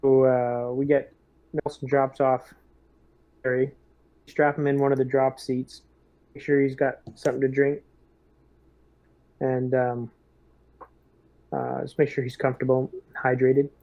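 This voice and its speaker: A man roleplaying a squad leader in a tabletop game, speaking in measured, hesitant phrases. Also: mid-range voice, flat delivery. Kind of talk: casual in-character tabletop roleplay. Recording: dull, muffled lo-fi mic.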